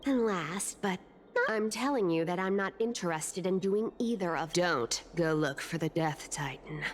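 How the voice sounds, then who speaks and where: A woman's voice: lowish voice, expressive, normal speed, slight background noise, close to an everyday voice. An actress voicing a sly, greedy female thief demigod in a video game, teasing and theatrical. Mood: playful, teasing. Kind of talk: video game character acting.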